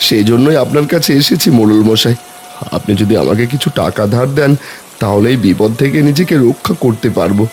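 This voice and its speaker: A man's voice: medium pitch, animated, a little fast, a little mellow, slight background noise, close to an everyday voice. A man voicing a poor, kindly, anxious village farmer, earnest and lamenting, in a cartoon fairy tale. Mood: pleading.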